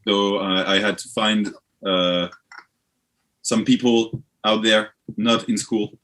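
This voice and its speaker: This is a man with a French accent and a lowish voice. He chats casually, as a band member being interviewed would. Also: fairly even intonation, takes it slowly, a bit bright, slight background noise. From France. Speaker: band musician, podcast guest.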